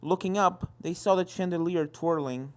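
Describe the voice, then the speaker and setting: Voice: medium pitch, normal speed. A narrator reading an adventure story in scripted narration, with music playing behind. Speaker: fan fiction narrator.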